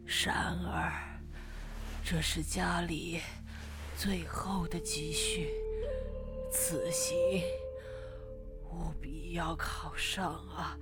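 A woman playing a frail, ailing mother in a period audio drama, pleading haltingly in a breathy half-whisper broken by coughs. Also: fairly low pitch, very animated, full character voice. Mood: weak, pleading. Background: loud music.

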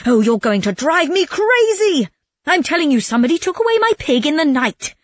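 Woman acting a wailing, gullible miser, high-pitched. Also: big pitch swings, moderate pace, dry recording, clean studio sound. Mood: exasperated. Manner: blubbering, yelling, exasperated. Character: gullible miserly man wailing over his stolen pig.

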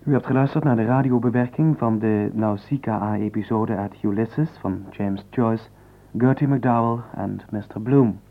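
Formal male radio announcer, tinny sound. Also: on the low side. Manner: formal, deliberate. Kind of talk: formal scripted closing credits. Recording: tinny, narrow-band old radio recording.

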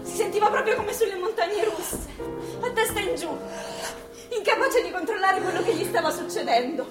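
An actress playing a storyteller who narrates a bittersweet fable in a theatrical fairy-tale style for radio drama. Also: female voice, extremely high pitch, lively intonation, moderate pace. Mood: wistful, playful. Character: expressive female storyteller telling a bittersweet fable. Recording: roomy stage sound under loud music.

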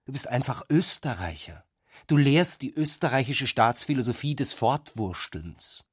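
A scornful, agitated man in a radio play, accusing and emphatic. His voice is husky and swings widely in pitch, recorded very dry. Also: lively intonation, normal speed, no echo. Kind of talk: literary radio drama dialogue.

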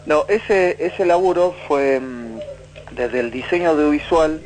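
A man's voice: a little high, unhurried, darkish tone, poor audio. A man from Chile answering as a guest in a radio interview, searching for his words. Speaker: documentary director, interview guest.

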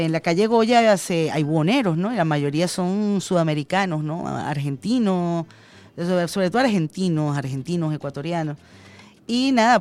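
A woman's voice: on the low side, darkish tone. Chatty Venezuelan woman radio host. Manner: chatty, personal anecdotes with fillers. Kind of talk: radio news and call-in chat.

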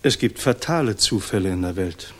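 A reserved aircraft engineer in a 1960s German radio play. His voice is on the low side. He answers in measured, clipped phrases and keeps himself coolly factual and guarded. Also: lively intonation, breathy edge. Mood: guarded, grave.

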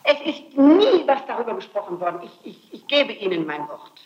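An echoey old interrogation recording of a woman with a rather high voice. She answers questions off the cuff, insisting and repeating her denials emphatically. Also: sing-song, normal speed, darkish tone, dull audio. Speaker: suspect being questioned. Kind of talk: spontaneous answers under interrogation. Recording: echoey archival interrogation tape.

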